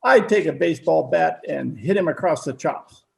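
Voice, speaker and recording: An actor playing a dry, mild-mannered older shopkeeper with a hesitant, folksy, deadpan way of talking, in old-time radio comedy style. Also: male voice, fairly high pitch, moderate pace, gravelly. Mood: grumbling.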